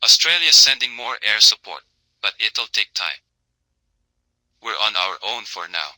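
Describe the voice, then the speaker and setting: A man's voice: on the low side, expressive, unhurried, pushed and bright, no room sound, heavily acted, rough recording. A man playing a dutiful military aide giving serious, deferential briefings in a scripted audio drama. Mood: grave, hesitant. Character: dutiful, sober male military aide reporting.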